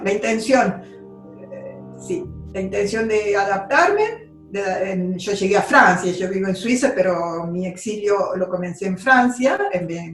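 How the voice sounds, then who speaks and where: A woman's voice: mid-range voice, echoey room, low-quality recording. A woman, giving a hesitant, reflective testimony with many fillers, as a guest on a remote call. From Argentina. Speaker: interview guest. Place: remote call from home abroad.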